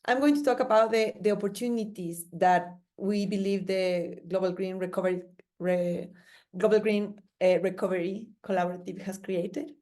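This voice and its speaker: A woman's voice: mid-range voice, fairly even intonation, normal speed, warm tone. A woman presenting at an online seminar, speaking with frequent restarts and fillers. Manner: hesitant, many restarts and fillers. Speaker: panelist.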